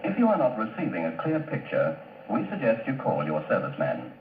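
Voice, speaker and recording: A man's voice: fairly low pitch, wildly swooping pitch, fairly quick. A man reading a formal, instructive test announcement as a television station announcer, on an old archive broadcast recording. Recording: echoey, tinny, slightly noisy archive broadcast.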